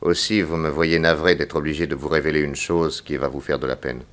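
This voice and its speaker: A man playing a grave, persuasive detective in a 1960s French radio serial, formal, deliberate and insistent. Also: rumbling low, level tone, a bit bright. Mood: gravely persuasive. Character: grave, persuasive male detective, solemnly warning a young woman.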